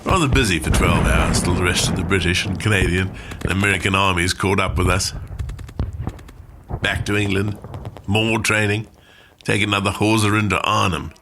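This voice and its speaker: A man's voice: low voice, steady tone, moderate pace, background noise. An actor playing a modest, wry British airborne veteran telling his war story in understated, clipped phrases for a radio play. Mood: wry, reflective. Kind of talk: dramatic monologue for a radio play.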